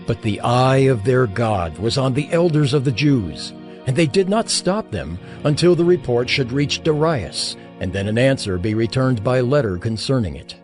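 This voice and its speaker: A man narrating a dramatized Bible recording in a measured, expressive way. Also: mid-range voice, soft background music.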